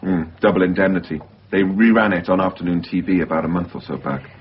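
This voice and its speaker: A man playing a measured, understated mental-health worker briefing a colleague in a naturalistic radio drama. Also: low voice, slight background noise, close to an everyday voice. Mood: explanatory. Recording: dull lo-fi radio recording.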